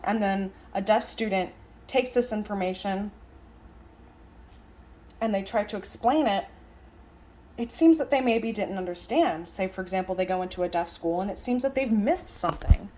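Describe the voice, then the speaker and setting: A woman voicing a lecture as an interpreter, conversational, speaking in halting phrases with stress on key words. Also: medium pitch, expressive, mellow. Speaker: sign language interpreter voicing a signed lecture.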